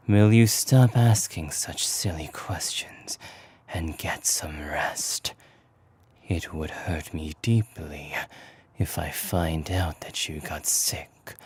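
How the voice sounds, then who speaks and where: ASMR roleplay role: a man as a coldly courteous, possessive inhuman boyfriend, deep breathy whisper, deliberate delivery. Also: exaggerated voice. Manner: slow, formal, deliberate phrasing. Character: possessive, menacing man-like creature, obsessive and coldly courteous lover.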